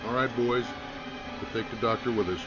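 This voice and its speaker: An actor playing an authoritative county sheriff in a radio drama, brisk and official in delivery. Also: male voice, mid-range voice, lo-fi sound, over music, natural voice. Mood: commanding. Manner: official, commanding.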